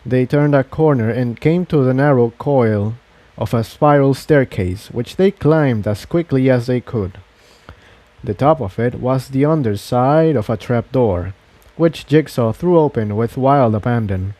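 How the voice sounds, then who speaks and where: A man reading an adventure fan fiction story aloud as scripted narration, in a mellow voice. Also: medium pitch, measured pace, no echo.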